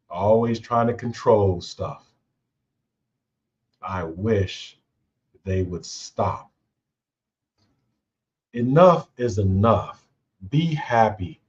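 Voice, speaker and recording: A male host talks through workplace conflict in a relaxed, conversational way, tagging his points with "right?". He speaks slowly, in a mid-range voice. The recording sounds a little dull and muffled. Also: mid-range voice. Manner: conversational, repeats "right?" often. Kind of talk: casual talk on leadership.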